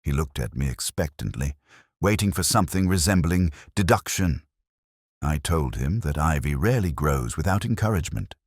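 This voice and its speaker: A brooding police inspector played by a man narrates his case in a very deep, close and dry voice. His delivery is measured and reflective, with literary phrasing and lively rises and falls. He sounds world-weary, like the narrator of a detective radio drama. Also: no echo, full character voice. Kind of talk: scripted first-person detective story narration.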